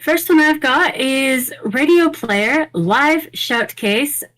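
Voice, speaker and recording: A woman's voice: high voice, lively intonation, takes it slowly, fairly bright tone, poor audio. A woman co-hosting a podcast, explaining and reviewing things in an informal, easygoing way. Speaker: podcast co-host. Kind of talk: casual podcast talk, plugin reviews.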